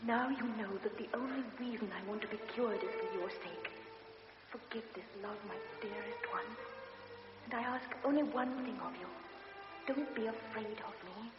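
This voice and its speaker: A woman's voice: a little high, moderate pace, natural voice. A woman playing a pleading young invalid. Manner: pleading, intimate letter-reading. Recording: echoey, dull recording with music behind. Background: music.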